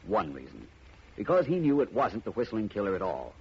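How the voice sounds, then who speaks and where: An actor playing a suave, cool-headed amateur detective, speaking in a smooth, assured manner in 1940s radio acting. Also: male voice, on the low side, huge pitch swings, fairly quick. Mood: confident. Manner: smooth, assured, explaining with relish. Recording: muffled old radio broadcast, faint hiss.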